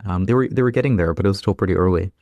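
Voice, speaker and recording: Low-voiced halting man, dry close sound. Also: darkish tone, no echo. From Ukraine. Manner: halting, restarts often, many "like" and "you know". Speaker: guest, engineering lead. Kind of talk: casual interview talk.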